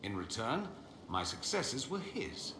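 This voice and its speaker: An actor playing a witty, proud mage in a video game, speaking in a theatrical, sardonic and articulate manner. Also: male voice, mid-range voice, big pitch swings, slow pace. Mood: rueful. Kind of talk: dramatic video game dialogue. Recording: roomy echo, faint ambient noise.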